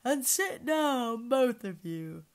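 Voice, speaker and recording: A woman's voice: a little high, sing-song, voice pushed up, exaggerated voice. A woman voicing a lazy, sleepy male lion who drawls and yawns through his words. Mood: drowsy gruff. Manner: drawling, yawning, slow.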